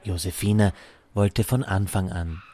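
A man's voice: sits low, steady tone, a little slow, mellow. A man narrating a scripted radio report as a reporter.

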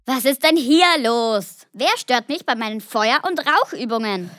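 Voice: mid-range voice, expressive, a little slow, background noise, lightly acted. A child playing a helpful little dragon who lives in a school attic, in a children's audio play. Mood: grumpy.

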